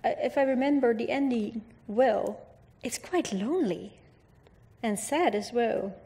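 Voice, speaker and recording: A woman's voice: fairly high pitch, a little slow, dark tone. A woman in her thirties interviewing guests on a theatre stage with direct, friendly questions.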